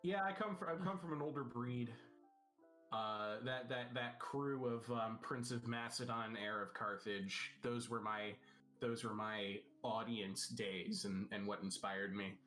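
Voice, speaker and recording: A man's voice: medium pitch, measured pace, a bit bright, faint music behind. Dry-humored man in his thirties. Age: 30s. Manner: dry, self-mocking humor. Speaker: guest content creator. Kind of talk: casual chat.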